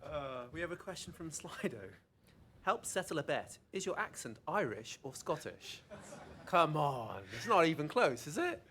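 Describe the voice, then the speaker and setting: A man's voice: on the high side, very animated, moderate pace, a bit bright. A man moderating audience questions after a talk, in a joking, upbeat way. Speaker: session moderator. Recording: far from the mic, faint room noise.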